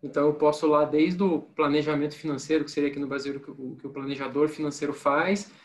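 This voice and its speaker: A man from Brazil hosting an interview, briskly framing topics and asking questions. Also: fairly high pitch, little pitch movement. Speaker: interviewer host.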